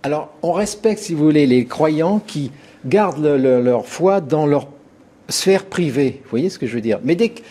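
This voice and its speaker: A man argues his point in an interview, pressing on and stumbling over restarts. His voice is husky and dark, pitched a little high. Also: lively intonation, moderate pace. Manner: insistent, restarts and repeats himself. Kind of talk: interview answer.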